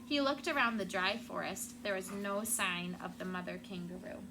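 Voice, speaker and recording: A woman's voice: medium pitch, moderate pace. A woman schoolteacher reading a storybook aloud to children. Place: classroom. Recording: clear background noise.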